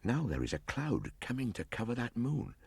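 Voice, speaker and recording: A man's voice: medium pitch, very animated, moderate pace, slightly rough, dry recording, voice pushed up, full character voice. Man voicing a weary, wistful young boy. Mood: weary, scheming. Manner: quiet, musing.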